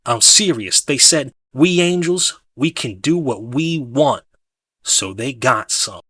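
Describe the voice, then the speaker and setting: Guy doing a fired up street preacher conspiracy type, folksy and pushy, sharp bright voice, very dry audiobook sound. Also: medium pitch, expressive, slow pace, slightly rough, no echo, heavily acted. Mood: lecturing, emphatic. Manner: colloquial, emphatic, instructive, with rhetorical questions. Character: fervent street-preaching conspiracy theorist man, folksy and insistent. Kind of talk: dramatic audiobook monologue.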